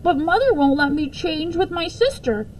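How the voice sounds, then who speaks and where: A woman's voice: very high-pitched, expressive, moderate pace, a little mellow, a little hiss or hum, pitched above the performer's own voice, poor audio. A woman voicing a grumbling young schoolgirl in a storybook, with whiny, exaggerated complaining. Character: young schoolgirl grumbling about her chores.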